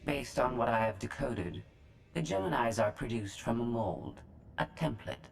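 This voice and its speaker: An actor voicing a cold, calculating droid AI with a filtered, machine-like voice in a game cutscene. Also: male voice, mid-range voice, normal speed, a little hiss or hum. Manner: flat, precise, machine-like.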